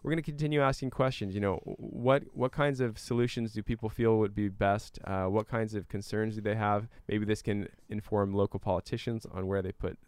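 A man with a fairly deep, clean and resonant voice answers interview questions conversationally. He explains things in an earnest, measured way, and the sound is close and dry. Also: steady tone, a little mellow, smooth, dry recording. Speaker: interview guest, climate activist and scientist.

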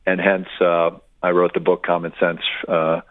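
A man in his fifties, originally from Connecticut, speaks as a guest author on a radio show. His voice sits low and dry, thinned out by a tinny phone line. He talks haltingly, restarting phrases and filling gaps with "uh.". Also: monotone, no room sound. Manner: hesitant, many restarts and fillers. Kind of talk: radio interview.

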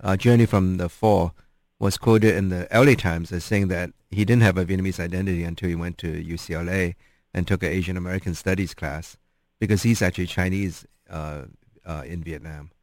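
A man with a very deep voice hosts a relaxed interview. The sound is close and dry. He talks thoughtfully, pausing on ums as he searches for words. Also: no room sound. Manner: thoughtful, hesitant, many ums. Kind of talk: casual interview chat.

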